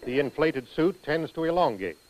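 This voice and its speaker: An American man reading scripted instructional narration for a training film in a precise, measured manner. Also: on the high side, lively intonation, a little mellow.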